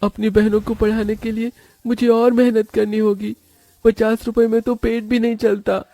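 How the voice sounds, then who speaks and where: A man's voice: sits high, little pitch movement, moderate pace, gravelly, mellow, dry recording, faint music behind, voice pushed up. A man voicing a humble, devoted poor elder brother in a cartoon moral story, pleading and sorrowful. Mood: resolute, weary. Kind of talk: animated moral-story acting.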